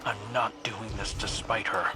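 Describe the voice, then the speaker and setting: A man's voice: fairly low pitch, steady tone, moderate pace, loud music behind the voice, natural voice. An actor playing a defensive, brooding young male warrior in a video game, terse and bristling with wounded pride.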